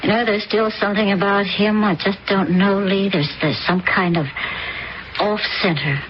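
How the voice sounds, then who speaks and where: A woman's voice: medium pitch, fairly even intonation, moderate pace, lightly acted. An actress playing a hesitant, troubled stepmother in an old radio drama. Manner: hesitant, searching. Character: uneasy stepmother, troubled and intuitive. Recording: dull old radio recording, faint hiss.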